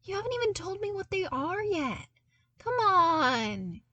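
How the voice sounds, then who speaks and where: A woman's voice: very high voice, big pitch swings, measured pace, pushed and bright, no room sound, recorded from a distance, muffled recording, voice pushed up, exaggerated voice. A woman voicing a playful, earnest young man, a talkative runner in an audio story. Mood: playful whining.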